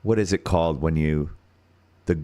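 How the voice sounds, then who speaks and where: A man with a deep radio-style voice and an American accent, hosting a casual livestream and thinking out loud as he searches for words. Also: normal speed. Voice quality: turns nasal when singing high.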